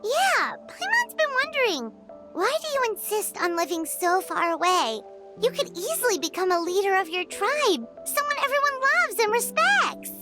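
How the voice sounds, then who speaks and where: A woman voicing a tiny, childlike female game companion, blunt and naive, with a squeaky delivery. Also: big pitch swings, moderate pace. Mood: chirpy, curious. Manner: refers to self in third person, squeaky. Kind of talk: video game voice acting. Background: music.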